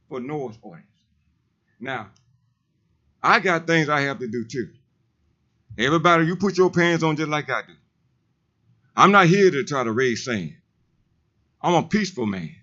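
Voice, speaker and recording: A man with a heavy, loud voice, speaking emphatically and repeating himself in a preacherly way during public comment at a council meeting. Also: fairly high pitch, lo-fi sound. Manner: emphatic, repetitive, preacherly insistence.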